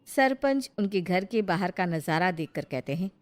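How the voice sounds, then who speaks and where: A woman's voice: lowish voice, lively intonation, moderate pace. A woman narrating a scripted story as a storyteller.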